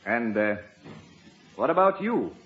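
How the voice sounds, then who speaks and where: An actor playing a folksy American husband in a 1950s radio comedy, hesitant, stammering and easily flustered. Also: male voice, mid-range voice, sing-song, slow, echoey room, muffled recording. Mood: puzzled.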